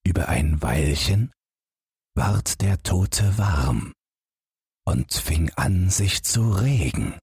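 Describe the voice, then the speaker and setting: A man's voice: low voice, expressive, very slow, a little mellow, husky, dry recording, clean studio sound. A man narrating a fairy tale as a scripted bedtime audiobook.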